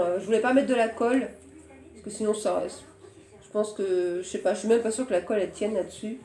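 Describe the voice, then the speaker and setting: A hoarse woman chatting casually about her shopping haul and crafts, often pausing to search for words. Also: on the high side, mellow, echoey room. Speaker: crafting vlogger.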